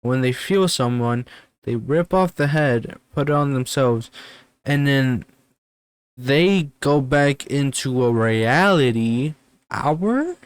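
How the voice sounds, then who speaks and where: Slow-talking man reacting, close and dry. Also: medium pitch, expressive, smooth, no echo. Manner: halting, thinking aloud. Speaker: host reacting to horror videos. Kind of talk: casual reaction commentary.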